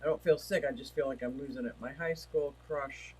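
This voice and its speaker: A man's voice: medium pitch, steady tone, a little fast, darkish tone, slightly rough, rough recording. A man hosting a casual livestream, chatting and reading viewer comments aloud, feeling like he is losing his voice. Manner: rambling, chatty, reads chat messages aloud. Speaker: livestream host. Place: home.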